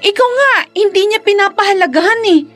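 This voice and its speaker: A woman's voice: extremely high pitch, lively intonation, fast talker, a bit bright, soft background music. A woman playing an indignant, loyal friend in a Filipino radio drama, emphatic and outspoken. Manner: emphatic, scolding.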